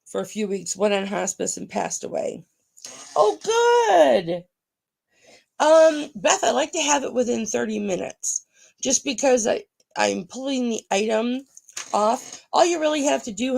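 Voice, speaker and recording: Gravelly groggy-voiced woman auction host. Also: medium pitch, a little mellow. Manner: chatty, calls viewers honey. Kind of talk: live-stream sales chat.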